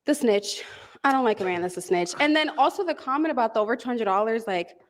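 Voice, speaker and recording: A woman's voice: fairly high pitch, expressive, fast talker. A woman in her twenties from New York, a dating show contestant speaking firmly and dismissively. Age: 20s. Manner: dismissive, firm, sassy.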